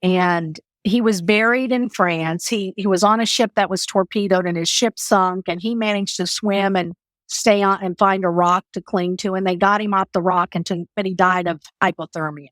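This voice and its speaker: A woman's voice: medium pitch, steady tone, normal speed, fairly bright tone, dry recording. Woman podcast host, dry close sound. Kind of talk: conversational interview.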